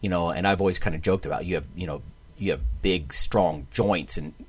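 A man's voice: deep voice, brisk, husky. A man in his forties hosting a podcast, talking casually about fitness. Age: 40s. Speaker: podcast host.

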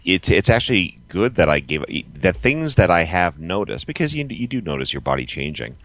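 A man in his forties co-hosting a podcast, chatting casually and emphatically in a halting, repetitive way. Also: low-pitched, very animated, a bit bright, no room sound. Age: 40s. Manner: halting, emphatic, repeats himself. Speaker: podcast co-host. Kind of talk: casual opinionated chat.

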